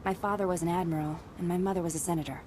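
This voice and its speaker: A woman's voice: on the low side, steady tone, very fast, a little hiss or hum, lightly acted. A woman playing an earnest, secretive ex-military diplomat and zealous patriot in a video game, speaking persuasively and solemnly. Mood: solemn, proud. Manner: persuasive, solemn, hushed at times.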